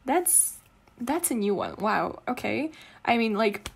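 A woman acts out an affectionate, giggly girlfriend in an intimate ASMR roleplay. Her voice is soft and breathy, swinging widely in pitch as she teases and comforts at an unhurried pace. She is a non-native English speaker who rambles fondly with pet names. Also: very animated, heavily acted. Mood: fond, teasing. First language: not English. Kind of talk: intimate girlfriend roleplay ASMR, casual improvised-sounding chat.

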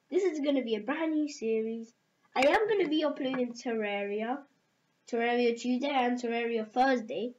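A woman giving rambling casual commentary while playing a game at her computer desk at home, chatting to her viewers. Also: fairly high pitch. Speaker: gaming video creator. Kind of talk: casual let's-play commentary. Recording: dull lo-fi sound with loud music behind.

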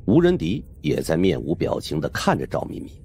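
A man's voice: a bass voice, huge pitch swings, a little fast, dark tone, a little hiss or hum. A man narrating a supernatural suspense audiobook in a dramatic, scripted style.

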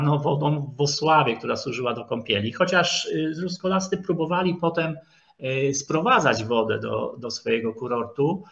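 A man giving an informal history lecture, speaking haltingly and repeating words as he goes. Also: on the high side, fairly bright tone. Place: online video call presentation with screen share. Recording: dull, lo-fi online call audio.

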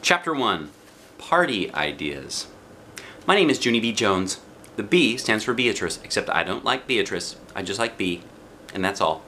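A middle-aged American man reading a children's storybook aloud in animated narration. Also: fairly low pitch, moderate pace. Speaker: children's book reader. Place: living room, indoors. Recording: noticeable background noise.

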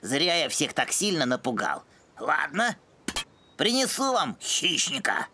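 A woman's voice: low voice, big pitch swings, unhurried, a little hiss or hum, exaggerated voice. A woman voicing a brainy, know-it-all boy who loves science in a children's cartoon, didactic and self-assured. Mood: smug. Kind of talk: animated children's cartoon dubbing. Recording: loud music behind. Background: music.

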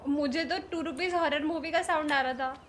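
Very high-pitched playful young woman. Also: fairly even intonation, normal speed, a bit bright, a little hiss or hum. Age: young adult. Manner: playful, teasing. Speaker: game streamer. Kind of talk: casual playful livestream chat while gaming. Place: indoor streaming room.

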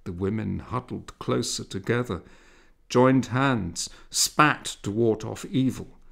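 A man reading a literary story aloud as a narrator, in a measured, expressive way with dramatic emphasis. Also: lowish voice, vocal fry. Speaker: audiobook narrator.

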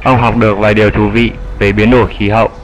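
A man's voice: lowish voice, little pitch movement, fast, faint music behind, close to an everyday voice, low-quality recording. A man voicing a wise, elderly grandfather bat who patiently explains things in an educational cartoon dub. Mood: patient, explaining. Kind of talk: dubbed educational cartoon dialogue.

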